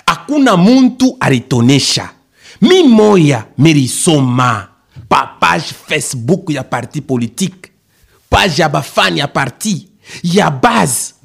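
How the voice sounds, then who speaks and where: An actor playing an excitable ordinary man in a radio play, with a creaky voice and a Congolese accent. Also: a little high, a little slow, full character voice. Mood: earnest. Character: Kabeya, excitable ordinary man spreading market news. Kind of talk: radio play dialogue in colloquial register.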